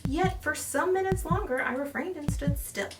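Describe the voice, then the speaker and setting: A woman's voice: sits high, normal speed, voice pushed up, lightly acted. A woman voicing a nervous, gleefully mad murderer confessing in a gothic horror story, intense and insistent. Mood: panicked, frenzied. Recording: distant mic, clearly noisy home recording.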